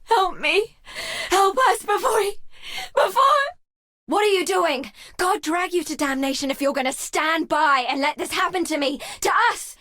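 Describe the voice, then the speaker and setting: An actress playing a devout, weary young woman healer exiled on a grim coast in a fantasy game, speaking in a solemn, measured, wry way. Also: very high-pitched, a bit bright, no room sound, studio quality. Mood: terrified, pleading. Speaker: non-player quest giver. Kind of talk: dramatic fantasy game dialogue.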